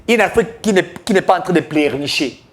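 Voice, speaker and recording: A man's voice: high voice, animated, brisk, slight background noise. A man giving emphatic, declamatory political commentary, mixing French with Lingala.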